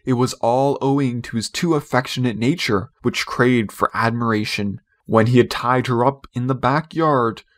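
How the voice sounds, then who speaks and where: Expressive male storybook narrator, medium pitch. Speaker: audiobook narrator. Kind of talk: scripted literary storybook narration.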